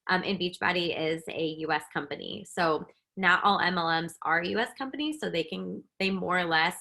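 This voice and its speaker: Woman, bright tone, webinar host, casual, fillers, video call. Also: fairly low pitch, moderate pace, creaky. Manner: upbeat, filler-heavy, explaining.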